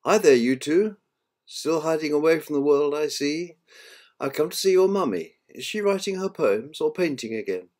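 A man's voice: fairly high pitch, animated, normal speed, a little mellow, voice pushed up, lightly acted. A man voicing a kindly old grandfather in a storybook, calling out cheerfully and heartily. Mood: hearty, affectionate. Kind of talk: storybook reading.

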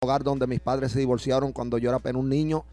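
Mellow-voiced Puerto Rican man giving testimony. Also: mid-range voice, level tone, very fast. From Puerto Rico. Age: middle-aged. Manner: frequent verbal tags seeking agreement. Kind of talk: personal religious testimony. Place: small radio studio. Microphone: close broadcast microphone with headphones.

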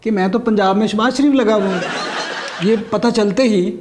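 Male satirist, middle-aged, Pakistani accent, high-pitched voice, scripted comedy monologue, clear background noise. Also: moderate pace. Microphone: lapel mic.

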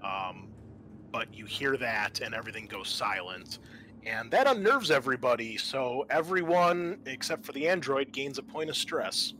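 A man with a mid-pitched voice works as game master of a tabletop roleplaying game. He narrates and explains rules in a hesitant, think-aloud way. Clear background noise runs behind him. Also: expressive, moderate pace, bright, forceful tone.

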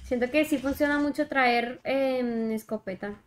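High-voiced young Mexican woman streaming. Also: measured pace. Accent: Mexican Spanish. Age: young adult. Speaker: game streamer. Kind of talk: casual livestream chat while gaming. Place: indoor room, alone. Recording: game vehicle and helicopter noise behind. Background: mobile shooter game sounds, helicopter.

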